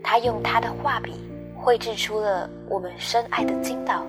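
A woman's voice: on the high side, moderate pace. A woman delivering a scripted podcast introduction as a narrator. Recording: prominent music under the voice. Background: loud background music.

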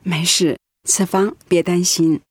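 Weary, breathy pastor's wife. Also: female voice, on the low side, steady tone, slow pace, no echo. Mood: weakly reassuring. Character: ailing, selfless pastor's wife, mother of grown daughter. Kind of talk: radio drama acting.

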